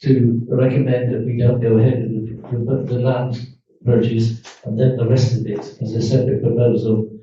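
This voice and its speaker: A man councillor makes his point haltingly, stumbling over his words and filling pauses. His voice is very dark and level, with little rise and fall. He sounds far from the microphone in a very echoey hall. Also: medium pitch, little pitch movement, a little hiss or hum. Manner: halting, stumbling, many fillers. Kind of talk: formal council meeting. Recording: distant, echoey, dull room mic.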